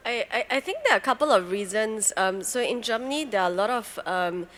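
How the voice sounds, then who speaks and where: A woman speaking as a panelist on a conference stage, measured and full of fillers. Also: mid-range voice, lively intonation, fairly bright tone. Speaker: panelist, program director.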